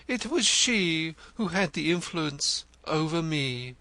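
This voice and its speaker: A man's voice: fairly high pitch, expressive, slow, pushed and bright, no room sound, pitched above the performer's own voice, full character voice. A man voicing a vain, theatrical male poet in an audiobook, florid and gushing, stammering when pressed. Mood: effusive. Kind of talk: audiobook character reading.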